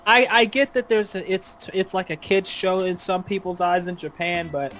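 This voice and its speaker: Opinionated man, slightly high voice. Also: steady tone, normal speed, a bit bright. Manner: opinionated, ranting. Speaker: podcast host. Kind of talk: casual fan podcast chat.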